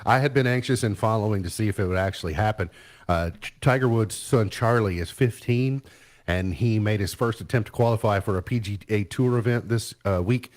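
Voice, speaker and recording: A man with a fairly low voice hosts a morning radio show. He talks through entertainment news in a relaxed, chatty way. He sometimes trails off before finishing a thought. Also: fairly quick. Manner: chatty, easygoing, trails off mid-sentence. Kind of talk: casual entertainment news chat.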